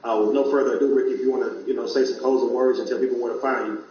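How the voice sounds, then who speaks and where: A man's voice: mid-range voice, little pitch movement, very fast. A man hosting an online talk show, speaking earnestly and conversationally to his viewers. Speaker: show host. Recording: distant echoey lo-fi mic.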